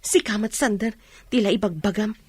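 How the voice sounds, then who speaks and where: A woman's voice: mid-range voice, normal speed, darkish tone, slightly rough, close to an everyday voice. A woman playing a friendly, matchmaking village wife in a radio drama, with a Filipino accent and a chatty, coaxing manner. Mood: teasing, coaxing. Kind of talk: Ilocano radio drama acting.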